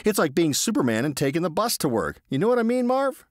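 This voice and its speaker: A man voicing himself as a reckless, cocky male thrill-seeker who talks brashly and excitably. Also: on the high side, fast talker, dry recording, studio quality, voice pushed up. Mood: coaxing.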